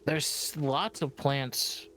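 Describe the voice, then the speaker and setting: A man's voice: mid-range voice, extremely slow. A man chatting casually as a guest on a game stream, a bit hesitant, with trailing sentences and small interjections. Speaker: guest streamer helping along. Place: remote voice call. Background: game music.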